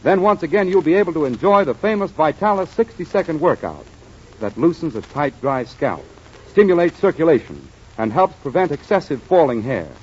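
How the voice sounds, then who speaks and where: A man playing a friendly announcer pal in a 1940s radio show, polished and hearty in a sponsor-pitch style. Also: very animated, a little fast, husky. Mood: polished, promotional. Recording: vintage 1940s radio, muffled, faint noise.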